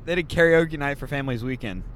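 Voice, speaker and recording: A man's voice: medium pitch, fast talker. Joking man podcasting from a car. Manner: joking, rambling. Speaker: podcast host. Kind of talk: casual chat. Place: moving car. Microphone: handheld mic passed around. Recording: road noise from a moving car.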